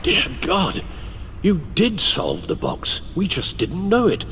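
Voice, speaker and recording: A man's voice: sits high, huge pitch swings, takes it slowly, fairly bright tone, slight background noise, exaggerated voice. A man playing an elderly, dutiful British butler in a video game, speaking formally and carefully with fatherly gravity. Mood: shocked realization. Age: older. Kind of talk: dramatic video game acting.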